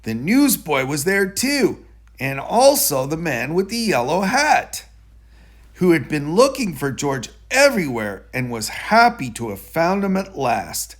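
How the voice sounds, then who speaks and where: A man reading a children's story aloud in an expressive storytelling style. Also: fairly high pitch, unhurried. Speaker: storybook reader.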